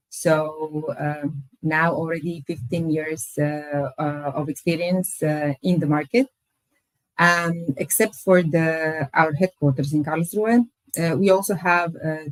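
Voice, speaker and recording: A woman presents her employer to a webinar audience, speaking in a low register. She hesitates often, filling her pauses with small sounds. Her voice comes through a video call, dry and close with no room sound. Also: dry recording, low-quality recording. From Croatia. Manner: hesitant, many pauses and fillers. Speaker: company recruiter presenting.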